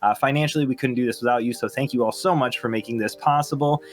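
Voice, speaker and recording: A man's voice: mid-range voice, fast. A man hosting a table read, speaking casually and off the cuff with an enthusiastic, appreciative manner. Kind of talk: casual, unscripted thanks and show wrap-up.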